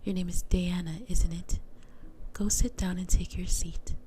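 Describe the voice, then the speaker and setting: A woman's voice: lowish voice, level tone, unhurried, mellow, slight background noise, natural voice. A woman voicing a nosy female schoolteacher who overshares and then drones through a history lesson. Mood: chatty.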